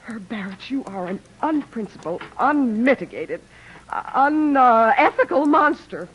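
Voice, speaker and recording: A woman in a 1940s radio comedy, playing a headstrong young woman author who is stubborn and quick-tempered. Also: on the high side, expressive, a little hiss or hum. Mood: furious. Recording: dull old radio broadcast.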